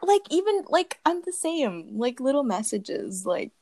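A woman's voice: high-pitched, big pitch swings, a little slow, a little mellow, no echo. A young woman hosting a casual question-and-answer chat, talking in a bubbly, giggly way with lots of fillers. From Philippines. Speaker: host.

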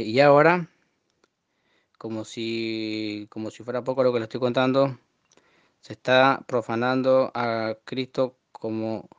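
A man in his early twenties with a northern Argentine accent, speaking off the cuff in an earnest, indignant, halting monologue. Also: no echo. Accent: northern Argentine Spanish. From Formosa, Argentina. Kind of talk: unscripted monologue to camera. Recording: muffled, dull low-fidelity audio.

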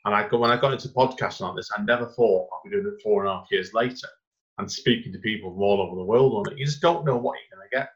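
A man's voice: on the low side, fast talker. Chatty male podcast host, dull audio. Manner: chatty and encouraging. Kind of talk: casual interview chat.

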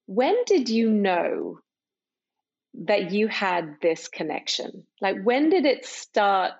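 The audio is a little dull and lo-fi. A woman speaks at a slow, unhurried pace in a medium pitch. She interviews conversationally as a podcast host.

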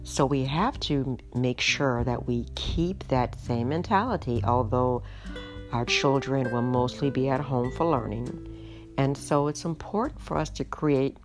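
A middle-aged woman hosting a podcast, hoarse tonight, chatting in an unhurried way with frequent ums. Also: a bass voice. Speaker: podcast host. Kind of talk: casual solo podcast talk. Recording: music playing underneath.

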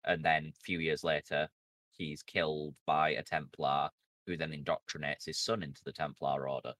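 A male podcast co-host with a low voice who recaps game plots step by step. He corrects the others in a casual chat. The recording is dry and close. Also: level tone, moderate pace, a bit bright, dry recording.

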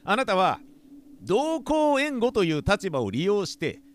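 Man acting lewd, high-pitched, wildly animated detective. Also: unhurried, exaggerated voice. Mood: probing. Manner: leering, wheedling, yelps in exaggerated pain. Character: lewd, pushy male police detective, wheedling and clownish. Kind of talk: comic audio drama acting.

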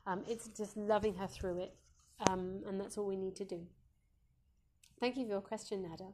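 A woman with a croaky voice, a Montessori educator hosting a live parenting advice Q&A, gentle and reassuring, with frequent ums. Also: mid-range voice, fairly even intonation, moderate pace, noisy background.

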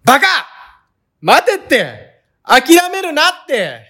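A man's voice: very high-pitched, wildly swooping pitch, takes it slowly, a bit bright, heavily acted. A man playing an earnest, panicky young sightseer in a comedic radio drama, speaking in wordy, melodramatic lines. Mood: desperate.

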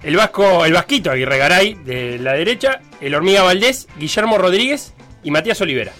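A man's voice: high-pitched, lively intonation, fairly quick, bright, forceful tone, faint music behind. A man chatting about football on a sports show, rambling with fillers and restarts. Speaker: sports radio panelist. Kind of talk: casual football talk.

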